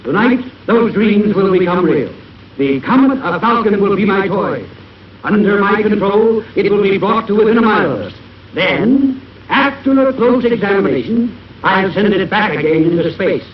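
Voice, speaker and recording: An obsessed, menacing older professor declaims in a high voice with formal grandeur. The vintage cartoon soundtrack is dull and very echoey, as if heard from a distance. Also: male voice, lively intonation, moderate pace, pauses often, a little hiss or hum. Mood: grandiose, resolute. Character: obsessed, arrogant male scientist villain, older, menacing. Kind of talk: 1940s cartoon voice acting.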